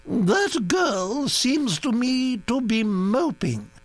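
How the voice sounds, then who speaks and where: A man reading an audiobook, voicing an imperious elderly aristocratic duchess who is brisk, bossy and disapproving. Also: very high-pitched, big pitch swings, dry recording, pitched above the performer's own voice, exaggerated voice. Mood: commanding.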